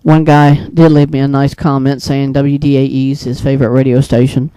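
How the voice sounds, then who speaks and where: Mellow-voiced man rambling through a story. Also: medium pitch, level tone, clear, resonant tone, dry recording, low-quality recording. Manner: rambling, hesitant, halting mid-thought. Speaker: video creator and storyteller. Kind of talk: casual unscripted monologue recounting a dream.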